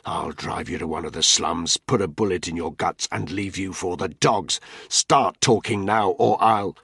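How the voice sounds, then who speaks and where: A man's voice: low voice, moderate pace, vocal fry, bright, forceful tone, breathy edge, no room sound, clean studio sound, heavily acted. A man voicing a tough male British intelligence soldier who hisses threats. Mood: menacing. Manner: hissing threats.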